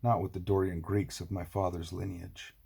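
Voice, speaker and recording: A man voicing a bitter, scornful nobleman in an audiobook, speaking forcefully and mockingly in a husky voice. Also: sits low, level tone, normal speed, full character voice. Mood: bitter pride. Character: bitter, scornful, wealthy half-Trojan man.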